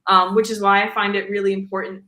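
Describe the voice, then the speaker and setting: A young woman singer with a voice deep for a woman, chatting casually in an interview and filling her talk with "like" and "um.". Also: little pitch movement, fast talker, pushed and bright, reverberant. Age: young adult. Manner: chatty, fills with "like" and "um", laughs easily. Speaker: guest singer.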